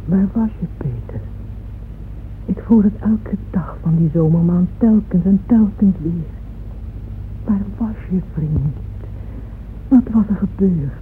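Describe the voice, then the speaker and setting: An actress playing a grieving, lovesick woman patron who recalls her heartbreak in a halting radio drama monologue. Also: lowish voice. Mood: anguished. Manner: hushed, halting, repeats words in anguish. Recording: muffled phone-like old radio recording, noisy.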